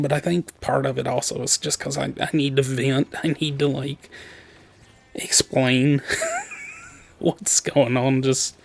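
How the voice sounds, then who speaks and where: Casting note: worn-out, hoarse man talking into his desk mic, gravelly, halting and rambling. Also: mid-range voice. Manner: rambling, halting, with many restarts and nervous laughs. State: tired. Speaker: gaming channel host. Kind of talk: casual personal update to viewers. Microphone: desk microphone.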